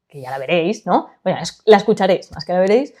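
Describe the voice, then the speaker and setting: A woman's voice: fairly low pitch, quick pace. A woman with a Spanish accent from Spain, chatting expressively about her story and then narrating it into a studio microphone. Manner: lively, expressive, gesturing while talking. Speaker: author reading her own story.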